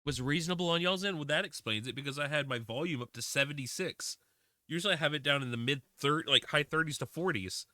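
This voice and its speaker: Young male streamer in his twenties, casual filler-heavy chatter, bright voice, dry sound. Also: fairly high pitch, fast, dry recording. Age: 20s. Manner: rambling, with many fillers and restarts. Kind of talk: casual livestream chat while playing a game.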